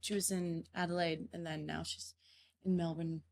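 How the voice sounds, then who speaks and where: A woman's voice: lowish voice, flat delivery, moderate pace, vocal fry, slight background noise. A young woman, a band member chatting easily as an interview guest. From Ireland. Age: young adult. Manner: easygoing, chatty, laughs along. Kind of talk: casual interview chat.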